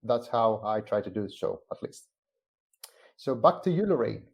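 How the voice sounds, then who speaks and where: A man from Greece, a company's chief growth officer, presenting a business book in a measured way with frequent "uh" fillers. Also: on the low side, very animated, vocal fry. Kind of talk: semi-scripted presentation on a live stream.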